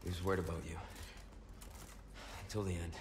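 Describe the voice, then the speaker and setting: An actor playing a young, stoic action hero in a video game, speaking in terse, understated lines. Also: male voice, low voice, level tone, fairly quick, reverberant, background noise. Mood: reassuring, low-key. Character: young male action hero, stoic, dryly sardonic protector. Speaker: actor voicing the game's hero. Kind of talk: naturalistic game cutscene acting.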